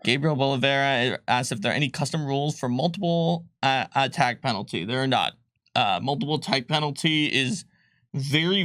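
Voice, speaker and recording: A man with a mid-pitched voice talks casually on a livestream, recorded very dry and close. He rambles informally through answers to questions, dropping in jokey asides as he goes. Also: pushed and bright, dry recording. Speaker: game designer answering viewer questions on a livestream.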